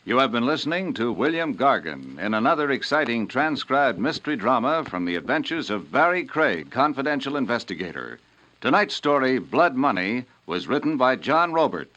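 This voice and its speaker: A man's voice: mid-range voice, sing-song, normal speed, bright, forceful tone. An American man working as a 1950s network radio announcer, with a formal, polished delivery. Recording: muffled 1950s radio recording over music.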